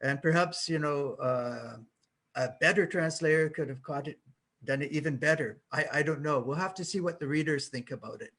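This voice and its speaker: This is a man in his sixties, a literary translator answering questions on a panel. His voice sits a touch high, and he talks in a modest, measured way. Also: a bit bright. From Canada.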